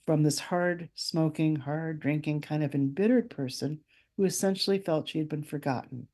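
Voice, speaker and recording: An older woman, an author being interviewed, speaking in a thoughtful, anecdotal way with measured phrasing. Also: low voice, mellow. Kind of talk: reflective conversational interview.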